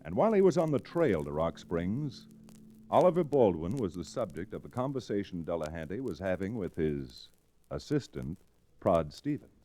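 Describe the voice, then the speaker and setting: A man's voice: low-pitched, very animated, dark tone, a little hiss or hum. An actor playing an easygoing American frontier lawyer who narrates his tale in a genial, folksy, old-time radio manner. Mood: genial, wry. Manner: folksy, unhurried storytelling. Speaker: lead character and narrator. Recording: restored vintage radio recording.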